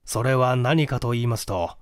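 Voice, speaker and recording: A man's voice: mid-range voice, normal speed, clear, resonant tone, dry recording, studio quality, pitched below the performer's own voice, natural voice. A man voicing a methodical police detective who explains deductions formally in a dramatic audiobook reading. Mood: explanatory, measured.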